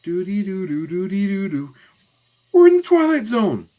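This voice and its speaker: A man's voice: high-pitched, wildly swooping pitch, quick pace, mellow, voice pushed up, full character voice. A man voicing a mouthy, wisecracking young boy from a comic, restless and sarcastic. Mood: teasing.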